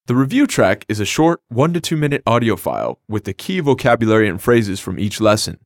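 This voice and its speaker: A man's voice: medium pitch, lively intonation, brisk, dry recording. Upbeat male language-lesson host. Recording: dry studio recording.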